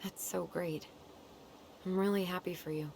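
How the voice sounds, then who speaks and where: A woman's voice: fairly low pitch, fairly even intonation, normal speed. A woman acting a friendly, supportive young American woman with a playful, casual manner in naturalistic video game dialogue. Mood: supportive, teasing. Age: young adult. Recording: game stream with clear background noise.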